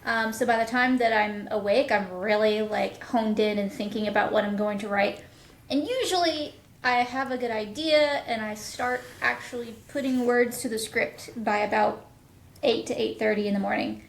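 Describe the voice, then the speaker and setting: A woman with a fairly high voice, chatting casually as a podcast guest. Her recording is noticeably roomy and echoey. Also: moderate pace, vocal fry. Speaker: audio drama writer and producer, podcast guest. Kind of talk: casual interview chat.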